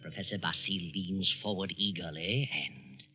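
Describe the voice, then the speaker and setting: A man with an American accent delivering measured, theatrical narration as host of a 1940s radio drama. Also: low voice, husky. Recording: muffled 1940s radio recording, loud music.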